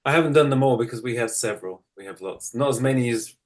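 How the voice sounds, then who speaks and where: A man's voice: medium pitch, fast talker. A British man chatting casually as a guest, in an agreeable, easygoing manner. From United Kingdom. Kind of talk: casual chat.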